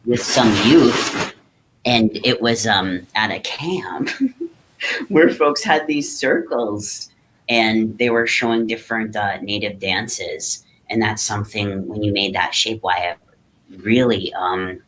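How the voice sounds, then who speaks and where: Reflective male guest, low-pitched, soft and unhurried, storytelling manner. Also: low-quality recording. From Great Lakes region, North America. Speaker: guest artist, hoop dancer. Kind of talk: conversational interview, storytelling.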